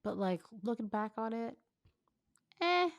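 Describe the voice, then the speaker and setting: A woman's voice: fairly high pitch, very animated, measured pace, creaky, dry recording, studio quality. A woman co-hosting, reading fan fiction aloud casually and breaking off for wry, colloquial commentary.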